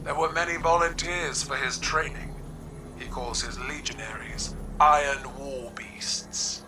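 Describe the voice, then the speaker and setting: An actor with a man's voice playing a regal alien war leader in a video game, formal, proud and deliberate. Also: medium pitch, fairly even intonation, noisy background, natural voice. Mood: proud formality. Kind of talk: dramatic video game acting. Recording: distant voice under clear music. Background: music.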